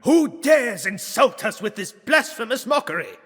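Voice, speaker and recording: A man voicing an enraged, haughty prince in a gothic storybook reading, hoarse and imperious. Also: very high voice, big pitch swings, moderate pace, fairly bright tone, voice pushed up, exaggerated voice. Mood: outraged, commanding. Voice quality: hoarse, raspy. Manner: imperious, theatrical outcry. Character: enraged bold, robust prince, haughty and commanding. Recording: long hall-like reverb.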